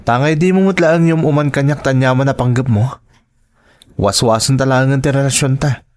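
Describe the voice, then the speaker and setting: A man's voice: mid-range voice, fairly even intonation, normal speed, darkish tone, lightly acted. A man with an Ilocano accent acting expressively in a family radio drama. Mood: resentful. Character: male characters in a family and romance drama.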